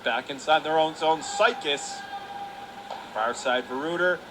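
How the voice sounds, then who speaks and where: A man calling live ice hockey play-by-play on the radio in clipped phrases, getting excitable on big plays. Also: a little high, takes it slowly. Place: indoor ice hockey arena. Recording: clear rink noise behind the voice.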